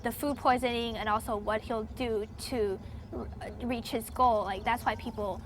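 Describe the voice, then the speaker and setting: Young woman from Taiwan, casual interviewee, slightly high voice, loose and chatty with lots of "like", a light hiss of noise behind her. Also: steady tone, normal speed. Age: young adult. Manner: chatty, many "like" fillers, hedging.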